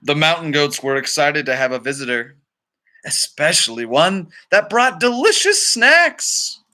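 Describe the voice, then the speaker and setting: A man narrates a children's picture book in a very bright, forceful voice. His pitch sits a little high and swings widely, and he phrases things dramatically at an unhurried pace. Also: sing-song. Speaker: storybook reader. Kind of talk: expressive children's read-aloud narration with casual asides.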